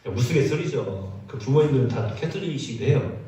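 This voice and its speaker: Lively male pastor, echoey church. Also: mid-range voice, brisk. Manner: animated, storytelling with acted quotes. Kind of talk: sermon. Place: church sanctuary. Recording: echoey church sound from a distance.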